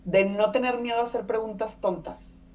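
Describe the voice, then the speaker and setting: A woman's voice: on the high side, fairly even intonation, moderate pace, darkish tone. A Mexican woman in her thirties, a podcast guest talking casually and chattily, with many fillers and tag questions. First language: Spanish. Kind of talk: casual conversational interview. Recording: distant mic.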